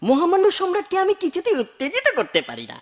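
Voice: very high voice, wildly swooping pitch, quick pace, heavily acted. An actor playing a greedy, fawning and ambitious man in a dubbed cartoon, scheming and obsequious in manner. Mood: eager, fawning. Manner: scheming, obsequious, self-congratulating.

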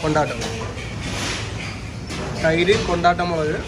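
A man's voice: fairly high pitch, steady tone, measured pace. A man vlogging a restaurant lunch, casually repeating each dish name back as it is served. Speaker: food vlogger. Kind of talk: casual food vlog chat. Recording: noisy restaurant background.

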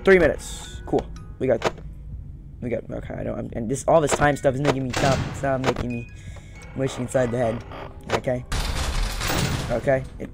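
A man's voice: mid-range voice, steady tone, normal speed, creaky, long pauses, loud music behind the voice. A young man giving casual game commentary, nervously muttering and repeating his words over and over. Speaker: gaming video host. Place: indoor room at home.

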